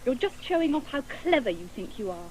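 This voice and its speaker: A woman's voice: fairly high pitch, sing-song, brisk, a loud crowd, poor audio. An actress playing an exasperated, easily wounded young woman companion in a 1980s radio drama. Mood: indignant.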